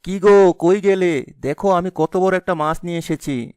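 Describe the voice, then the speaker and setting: An actor playing a timid, polite village husband in a cartoon story, with an earnest manner. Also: male voice, sits high, normal speed, a little mellow, clear, resonant tone, dry recording, studio quality, natural voice. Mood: pleased.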